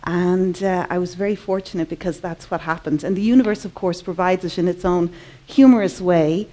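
A woman in her forties with an Irish accent, giving a personal talk on stage to a conference audience, earnest and measured, slowing down on key words. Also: fairly low pitch, mellow. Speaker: conference speaker, artist. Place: large conference hall, indoors. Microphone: headset mic.

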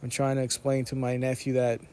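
A man in his thirties narrating a casual food vlog with deadpan, joking storytelling. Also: medium pitch, fairly quick, a little hiss or hum. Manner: deadpan, jokey. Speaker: food vlogger.

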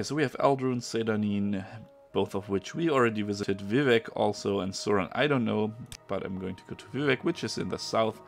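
Man, German accent, non-native English, low voice, gravelly, casual game commentary. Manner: chatty, pauses to search for words. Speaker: gameplay streamer. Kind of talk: casual let's-play commentary.

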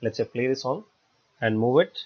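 A man narrating a step-by-step software tutorial in a halting, explanatory way. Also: mid-range voice, lively intonation. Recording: dull lo-fi voice-over recording.

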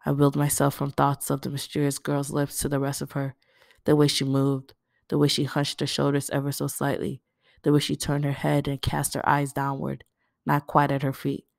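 A woman's voice: very deep voice, completely flat delivery, moderate pace, vocal fry, no echo, clean studio sound. A woman reading a first-person novel aloud as scripted narration. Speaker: audiobook reader.

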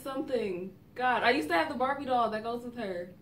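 A woman's voice: fairly high pitch, brisk, noisy background. A young woman chatting casually and giving game clues with family on a couch, recorded by a camera a few metres away. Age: young adult. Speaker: vlogger playing a family party game. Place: living room, on a couch. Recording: roomy, distant camera mic, faint music.

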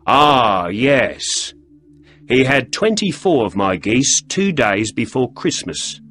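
A man voicing a grumpy, defensive male shopkeeper who snaps quick, irritable answers. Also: mid-range voice, big pitch swings, a bit bright, soft background music, pitched above the performer's own voice.

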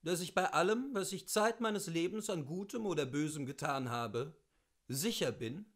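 A man reading classic literature aloud as a host, with deliberate, theatrical phrasing and weighty pauses. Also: on the high side, animated, fairly bright tone. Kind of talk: expressive literary reading, scripted narration.

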